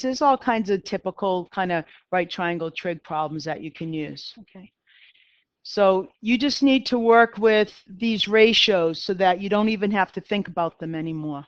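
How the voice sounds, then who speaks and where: Woman, Boston accent, middle-aged, math teacher, chatty classroom lecture, smooth clear voice, dry dull recording. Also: medium pitch, no echo. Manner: chatty, self-deprecating, talks to students.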